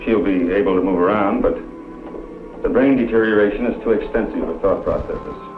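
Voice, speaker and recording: Echoey deep-voiced clinical scientist played by a man. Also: brisk, close to an everyday voice. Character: clinical male brain-transplant scientist, coolly matter-of-fact. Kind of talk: 1960s B-movie science fiction acting. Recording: tinny echoey old film soundtrack with music.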